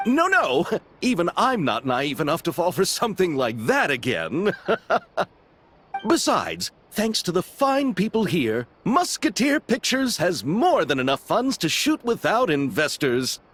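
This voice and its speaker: A man's voice: sits high, wildly swooping pitch, unhurried, a bit bright, a little hiss or hum, exaggerated voice. A man voicing an earnest, romantic young film director in a video game, speaking in flowery, effusive phrases. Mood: sheepish. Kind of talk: video game character dialogue.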